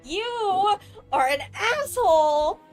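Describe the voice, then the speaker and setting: A woman's voice: very high-pitched, lively intonation, slow pace. A woman streamer giving outraged, exclamatory live reactions to a video game. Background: game music.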